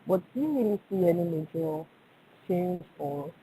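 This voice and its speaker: A man's voice: high voice, normal speed, mellow, rough recording. A man asking spontaneous questions as an analyst on a conference call, over a slightly hissy line.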